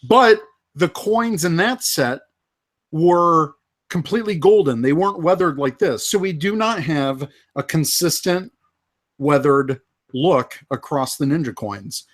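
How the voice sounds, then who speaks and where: A man co-hosting a live fan podcast, casually going through toy and movie news with many pauses and fillers. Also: a little high, expressive. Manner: unhurried, lots of uh fillers. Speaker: podcast co-host.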